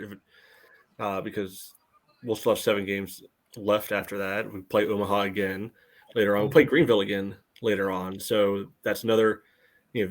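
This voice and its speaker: Low-pitched man chatting about sport. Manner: informal, peppers talk with "you know". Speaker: podcast panelist. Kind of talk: casual sports analysis.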